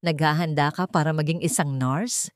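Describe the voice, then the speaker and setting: A woman voicing a guarded, brooding billionaire man with a calm, measured manner in an audio love story. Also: sits low, dry recording, studio quality, close to an everyday voice.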